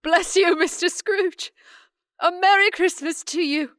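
A woman playing an earnest, kindly Victorian gentleman in a theatrical audio drama style. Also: extremely high pitch, unhurried, no room sound, studio quality. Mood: timid, grateful.

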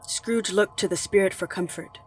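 A man narrating a dramatic story in a measured, solemn manner. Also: high-pitched, steady tone, soft background music, lightly acted. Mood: ominous.

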